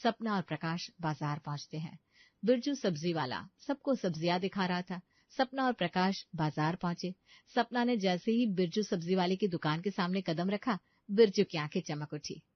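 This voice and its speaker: A woman's voice: fairly low pitch, moderate pace, no echo, highs cut off. Woman narrating a story, dry sound. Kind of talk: scripted bedtime story narration in Hindi.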